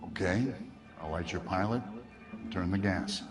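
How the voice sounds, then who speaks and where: Man acting as dry gas expert in a video game, low voice, explains stuff plainly, music playing. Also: moderate pace, lightly acted. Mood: instructive. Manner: instructive, matter-of-fact. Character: dry gas-appliance expert helping investigators.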